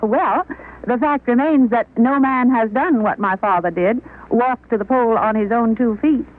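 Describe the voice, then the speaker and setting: A middle-aged woman is interviewed on 1940s radio. She talks in measured, articulate, formal sentences. The recording is thin and tinny. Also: a little high. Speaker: interview guest.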